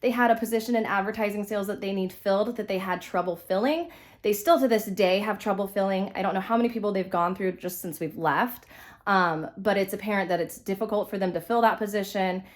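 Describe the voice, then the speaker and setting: A woman's voice: mid-range voice, brisk. A young woman from California chatting casually, with many fillers and restarts, while dressing a doll, her voice a little stuffy from being sick. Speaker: vlogger, reborn doll collector.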